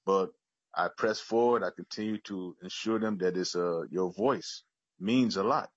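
Husky, low-voiced American man, earnest and halting. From Chicago, United States. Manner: earnest, halting, with repeated words. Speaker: public witness at a legislative hearing. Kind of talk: personal testimony. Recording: muffled, echoey video call.

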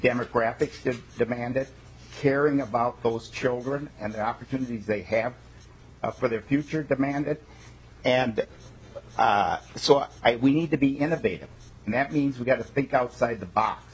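A man's voice: mid-range voice, moderate pace, a little hiss or hum, poor audio. A male state legislator introducing himself at a committee meeting, folksy and emphatic with joking asides.

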